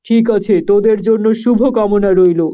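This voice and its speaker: A man's voice: high-pitched, steady tone, normal speed, lightly acted. A man voicing a wise old male hermit sorcerer in a cartoon, speaking in a commanding way. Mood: solemn. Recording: dull lo-fi audio.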